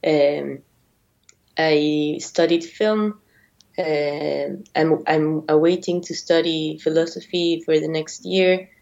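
Hesitant young woman, low and slow. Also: steady tone, creaky, a little mellow. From Argentina, raised in Mexico. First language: Spanish. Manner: hesitant, many fillers and restarts. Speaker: guest. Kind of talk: interview. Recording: dull lo-fi audio.

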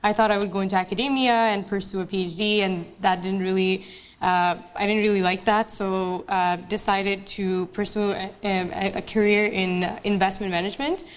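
A young woman from Bangladesh answers questions in front of an audience. Her talk is casual and chatty, full of fillers and restarts. Her voice sits at a middle pitch. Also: steady tone, moderate pace. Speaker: guest speaker, investment analyst. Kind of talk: casual onstage interview.

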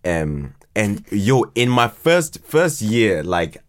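A man's voice: on the low side, sing-song, slow. A young man with a Ghanaian accent chatting casually in an interview, often repeating words and restarting as he searches for his answer. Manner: hesitant, stammering repeats and false starts. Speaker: podcast guest, writer and creator.

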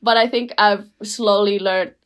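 A young woman from Rotuma, a guest speaking in casual, reflective conversation, full of "like" and "you know" and quick to laugh. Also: medium pitch, fairly even intonation, a bit bright. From Rotuma, Fiji. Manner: chatty, many fillers, laughs easily.

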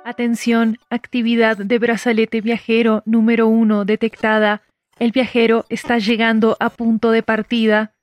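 A woman voicing a polite, impassive computer assistant in an audio drama, formal and deadpan. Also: fairly high pitch, normal speed, lightly acted. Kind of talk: scripted system announcements in audio drama.

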